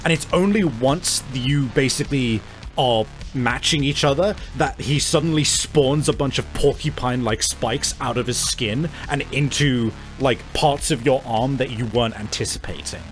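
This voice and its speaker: Mid-pitched man running a tabletop game. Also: moderate pace, faint music behind, low-quality recording. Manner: casual, sweary. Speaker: game master. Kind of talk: improvised tabletop game narration.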